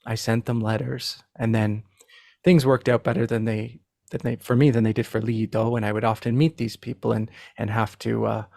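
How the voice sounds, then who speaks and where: A man with a creaky voice talks casually as a guest on a podcast. His pitch stays fairly flat as he doubles back on words in a halting, self-deprecating way, recorded very dry. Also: no echo. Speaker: podcast guest, literary translator. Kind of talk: casual conversation.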